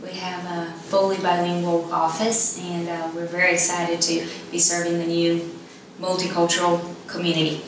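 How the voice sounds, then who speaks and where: A woman in her thirties with a Brazilian accent and Portuguese as her first language talks conversationally. Her voice is slightly low and evenly pitched, picked up at a distance in an interview setting. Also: completely flat delivery, normal speed. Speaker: insurance agent. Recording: echoey room, distant mic, clear background noise.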